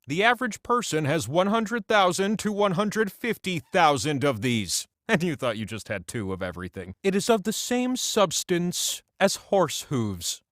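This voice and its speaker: A man's voice: sits high, fairly bright tone, no room sound, studio quality. A man voicing a boastful, god-like talking wheel that hosts a video game quiz show, pompous and theatrical with teasing, chatty asides. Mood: musing.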